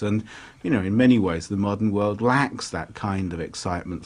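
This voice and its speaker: Brief: earnest male historian commentator, low-pitched, explanatory. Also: slightly rough. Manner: earnest, explanatory, some restarts. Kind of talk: reflective commentary.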